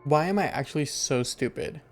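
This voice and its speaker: A young man livestreaming a game, reacting casually with dramatic, repetitive exclamations in a creaky voice. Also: a little high, normal speed. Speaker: game streamer. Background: game music.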